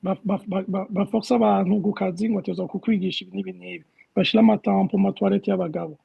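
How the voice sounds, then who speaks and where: A man's voice: high-pitched, steady tone, fast, gravelly, husky, lo-fi sound. A man asking the candidate questions in a virtual meeting, talking hesitantly and mixing languages. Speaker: community member asking questions. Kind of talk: casual chat. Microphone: video call.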